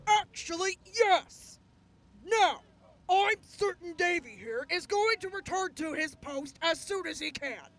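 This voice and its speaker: An actress plays a woman militia officer with a very high, bright voice. She is composed and courteous, and speaks formally, as in an audio drama about war. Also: expressive, heavily acted. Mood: businesslike, courteous. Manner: formal, measured, polite.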